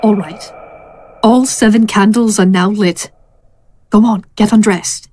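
A woman playing a sly female friend who dabbles in spells, coaxing and drawn-out, in an audio drama. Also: mid-range voice, steady tone, darkish tone, a little hiss or hum, lightly acted. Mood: bossy, coaxing.

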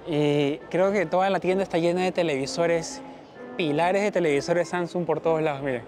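Chatty Peruvian man, fairly high voice. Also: moderate pace, faint music behind. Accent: Peruvian Spanish. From Peru. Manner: chatty, lively, talks straight to viewers. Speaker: travel vlogger. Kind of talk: casual vlog talk to camera.

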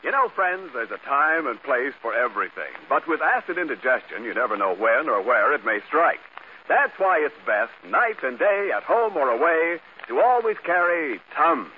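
A man's voice: fairly high pitch, big pitch swings, moderate pace. A man announcing and reading sponsor copy in polished 1940s radio style. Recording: muffled old radio, faint noise.

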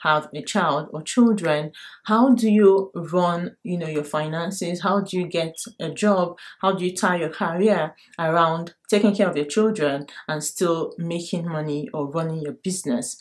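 Young Nigerian female vlogger, low-pitched, direct and emphatic, talking casually to camera from her bedroom. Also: a little slow. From Lagos, Nigeria. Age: young adult. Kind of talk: casual advice talk to camera. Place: bedroom at home.

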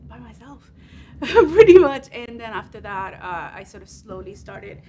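A woman's voice: fairly high pitch, sing-song, measured pace, dark tone. A woman in her forties from Puerto Rico, chatting casually and jokingly as a studio interview guest. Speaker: interview guest, freelance animator and designer. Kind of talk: casual conversational chat. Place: indoor studio set at a table.

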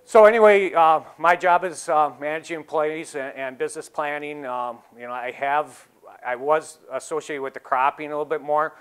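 A middle-aged dairy farmer giving a casual talk in a large exhibition hall, easygoing and full of "you know" fillers. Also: male voice, on the high side, normal speed, fairly bright tone, smooth. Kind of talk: casual talk to a seated audience.

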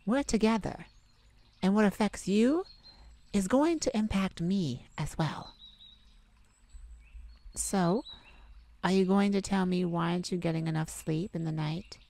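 A woman voicing a sweet, caring boyfriend in an intimate ASMR roleplay, tender, gently teasing and coaxing. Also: fairly low pitch, measured pace, pauses often. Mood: gently concerned. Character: sweet, caring young boyfriend comforting his partner.

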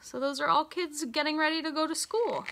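An American woman reading a picture book aloud to young children, in a deliberate, sing-song teacher's delivery with emphasized words. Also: high-pitched, a bit bright. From United States. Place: small indoor room with racks of hanging clothes.

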